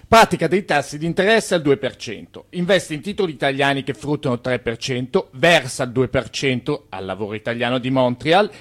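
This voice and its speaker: A man's voice: mid-range voice, lively intonation, a little fast, few pauses, fairly bright tone, lightly acted. A man playing a busy, wry immigrant banker in a radio play, explaining things with sly confidence. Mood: wry, confident.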